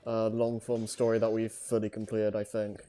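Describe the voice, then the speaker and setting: A man's voice: fairly low pitch, monotone, normal speed, warm tone. A man co-hosting a podcast in casual, conversational chat.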